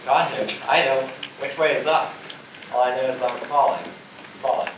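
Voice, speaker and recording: A man's voice: medium pitch, normal speed, natural voice. Man acting astronaut crewmate in a very echoey theater. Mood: bewildered, lost. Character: male astronaut crewmate, flippant then bewildered. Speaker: actor in a school theater production. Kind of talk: amateur stage play acting. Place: theater stage, picked up from a distance. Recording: echoey distant stage recording, faint noise.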